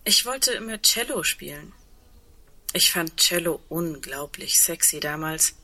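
A woman playing a wistful double bass player in a naturalistic radio play, speaking in a reflective, halting and intimate way with a husky voice. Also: lively intonation, bright, forceful tone, exaggerated voice, rough recording. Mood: wistful, reminiscing.

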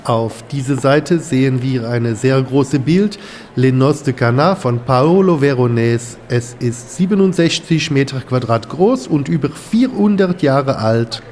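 Man playing French-accented male museum guide, courteous, theatrical, deliberate, reverberant hall, faint background noise, audio drama. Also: medium pitch, expressive, darkish tone, lightly acted. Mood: courteous, enthusiastic. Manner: theatrical, deliberate, searching for words.

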